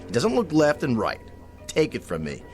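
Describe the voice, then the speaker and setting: An actor playing a vain, demanding aging TV cowboy star who whines and bosses the crew about. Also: male voice, medium pitch, wildly swooping pitch, normal speed, music in the background. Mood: whiny. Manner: pompous, demanding, whiny. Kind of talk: radio drama acting.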